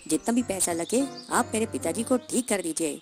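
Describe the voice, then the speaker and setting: A woman voicing a devoted, hardworking young female bird, speaking softly and earnestly in a cartoon story style. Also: mid-range voice, animated, moderate pace, over music, close to an everyday voice.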